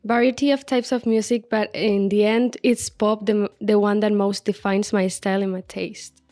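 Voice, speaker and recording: A woman's voice: mid-range voice, flat delivery, normal speed, mellow. A nineteen-year-old woman with a Spanish accent who asks direct questions on a student podcast. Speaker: student panelist.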